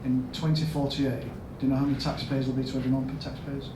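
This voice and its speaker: A man with a Yorkshire accent giving a storytelling conference talk as a health service chief executive, asking the audience questions and rising to loud emphatic bursts. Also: medium pitch, flat delivery, fast talker. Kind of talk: storytelling keynote speech. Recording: echoey hall, mic at a distance, background noise.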